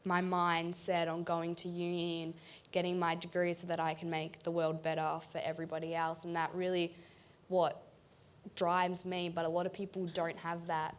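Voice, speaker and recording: A woman's voice: fairly low pitch, monotone, moderate pace. A young Australian woman, a student on a discussion panel, speaking earnestly and thoughtfully into a handheld mic in a large auditorium. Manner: earnest, thoughtful, with many ums.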